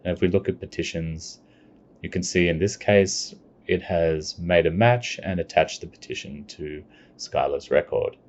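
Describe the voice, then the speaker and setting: A man giving a plain, step-by-step screencast walkthrough of software. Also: low-pitched. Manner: plain step-by-step explaining, unhurried. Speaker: software demonstrator. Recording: dull lo-fi recording.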